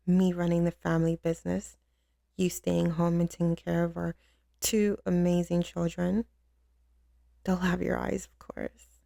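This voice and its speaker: A woman playing a tough, commanding mafia boss who turns tender and doting with a lover, in an intimate, slow-spoken ASMR roleplay with a gravelly voice. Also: on the low side, dark tone, no room sound, clean studio sound. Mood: dreamy, proud. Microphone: close studio microphone, dry.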